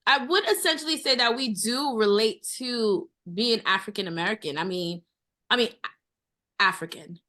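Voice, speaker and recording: A woman's voice: fairly high pitch, moderate pace, pushed and bright. A woman in her thirties from Brooklyn with a New York accent, a chef guest talking earnestly and at length, often repeating herself. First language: Haitian Creole. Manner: earnest, rambling, repeats phrases. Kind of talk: casual interview talk.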